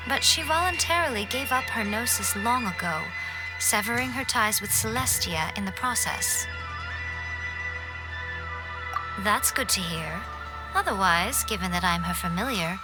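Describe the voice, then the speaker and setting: Casting: woman as elegant female fox familiar for anime game; fairly high voice, measured, formal and knowing, gently wry, clear music underneath. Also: expressive, natural voice. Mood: wry, composed. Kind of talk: scripted anime video game dialogue.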